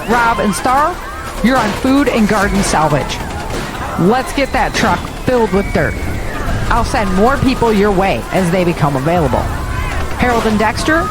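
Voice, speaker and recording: Audio drama: actress as assertive young woman soldier, medium pitch, determined and brisk, clear music bed. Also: natural voice. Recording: music bed under dialogue.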